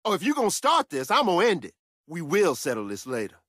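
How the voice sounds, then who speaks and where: A cocky, battle-ready soldier from a video game. He has a lively, widely swinging pitch and throws out short, punchy lines with swagger. The sound is dry and close. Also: fast talker, no echo, full character voice. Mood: challenging, cocky. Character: tough, confident male soldier, upbeat battlefield fighter. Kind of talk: video game combat barks.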